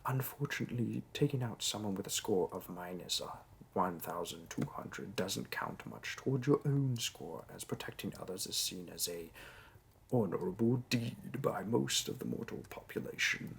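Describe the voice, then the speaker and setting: A man voicing a demon interviewer in a roleplay audio drama, dry and condescending with mock sympathy, in a low gravelly voice. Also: lively intonation, normal speed, slight background noise. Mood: condescending pity. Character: bureaucratic male demon interviewer, disappointed, politely wicked.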